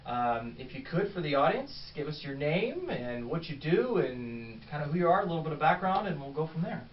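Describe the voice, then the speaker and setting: A man's voice: a little high, sing-song, fairly quick. A man hosting a podcast, upbeat and encouraging as he interviews a guest, with a few ums. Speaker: podcast host. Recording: echoey room, distant mic, dull sound, faint noise.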